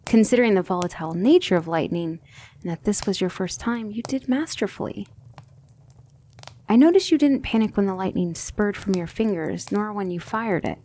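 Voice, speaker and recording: A woman playing a gentle but firm demon tutor in an ASMR roleplay, speaking in a soothing, measured and formal way. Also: medium pitch, expressive, a little hiss or hum, lightly acted. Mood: gentle, reassuring.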